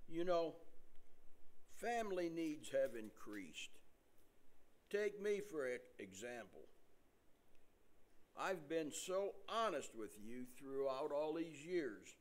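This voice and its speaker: Older man playing a slow, tactless joking visitor. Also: on the high side, sing-song. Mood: self-pitying. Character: tactless joke-telling male friend visiting a patient. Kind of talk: comic staged play reading.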